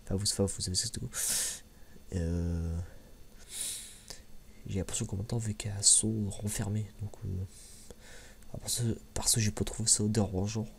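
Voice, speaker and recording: A man with a very low, gravelly voice speaks softly, almost whispering at times, in a casual ASMR manner. He is hesitant, trailing through repeats and pauses. The sound is a little muffled, with some background noise. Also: steady tone. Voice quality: soft, hushed voice, sometimes near whisper. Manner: hesitant, halting, frequent repeats and filler pauses. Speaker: ASMR video creator and host. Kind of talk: soft-spoken ASMR, casual unscripted review. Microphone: microphone held a little away from mouth.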